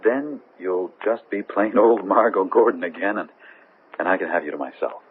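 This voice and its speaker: An actor playing an earnest, stubborn, hurt fiancé with a husky voice in a 1970s radio drama. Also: male voice, low voice, normal speed. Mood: insistent, pleading. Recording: narrow lo-fi 1970s radio recording.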